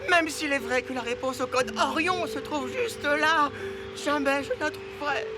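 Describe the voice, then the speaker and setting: A man's voice: extremely high pitch, normal speed, over loud music. A man playing an eccentric, slovenly genius professor in a French-dubbed cartoon, theatrical and easily frazzled. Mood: despairing. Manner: theatrical, excitable, muttering.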